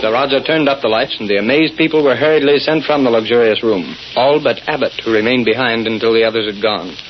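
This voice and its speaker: A man's voice: medium pitch, fast talker, lightly acted. A man narrating a scripted 1930s radio drama. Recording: muffled noisy old radio recording.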